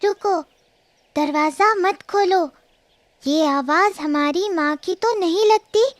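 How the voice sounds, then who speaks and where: A little cartoon goat kid, alert and insistent. The voice is extremely high and childlike, with slow, careful delivery. The sound is dry and close. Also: female voice, no room sound. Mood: wary, defiant. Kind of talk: children's cartoon story acting.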